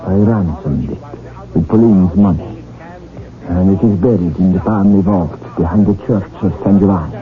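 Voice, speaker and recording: A man acting in a 1970s radio drama as a guilt-ridden older professor, theatrical and halting in his delivery. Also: sits low, faint music behind, lightly acted. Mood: sombre confessing. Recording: tinny, band-limited 1970s radio recording.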